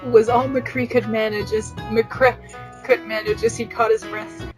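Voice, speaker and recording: A woman's voice: on the high side, little pitch movement, measured pace. A woman narrating fan fiction on a podcast in a matter-of-fact, newscaster-like manner. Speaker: podcast reader. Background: plucked guitar music.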